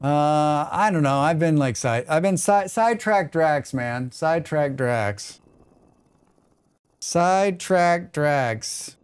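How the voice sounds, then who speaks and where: A man's voice: on the high side, expressive, slow pace, clean tone, no echo. A man with a plain American accent chatting casually on a live game stream, rambling and talking back to his chat. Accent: General American. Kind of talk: casual chat while gaming.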